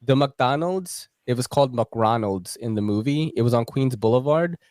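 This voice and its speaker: A man's voice: medium pitch, expressive, normal speed, darkish tone, no room sound, clean studio sound. A man from the Philippines who moved to America as a child, hosting a casual podcast chat in a jokey, slangy, swearing way. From from the Philippines, moved to America at seven. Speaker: podcast host.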